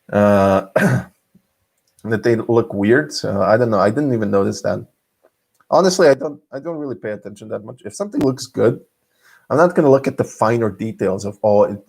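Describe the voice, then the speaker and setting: A young man from Serbia with a Serbian accent, telling stories in a casual solo vlog, restarting often and swinging his pitch up and down. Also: slightly rough. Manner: animated, frequent restarts and laughs, pitch swinging widely. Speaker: vlogger host. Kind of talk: casual monologue storytelling.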